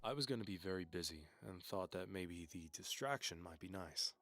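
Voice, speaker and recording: A man reading a scripted story aloud in measured takes, repeating lines one after another. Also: sits low, expressive, a bit bright, no echo.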